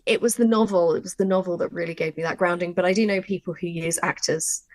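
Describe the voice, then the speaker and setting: A woman's voice: lowish voice, steady tone, fast. A woman talking informally and emphatically in a writing workshop, explaining with examples as a writer. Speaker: audio drama writer leading a workshop. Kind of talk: conversational workshop discussion.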